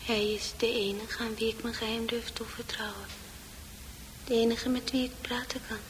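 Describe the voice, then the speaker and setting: An actress voicing the inner thoughts of a withdrawn, resentful female psychiatric patient, breathy and mostly whispered, in a radio drama. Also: a little high, steady tone, normal speed, slight background noise, lightly acted. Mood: secretive, dreamy. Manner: secretive, brooding.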